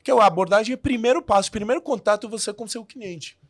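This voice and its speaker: A man's voice: sits high, quick pace, no room sound, clean studio sound. A man who learned the language as an adult, a podcast guest telling his story in a casual way with many restarts and fillers.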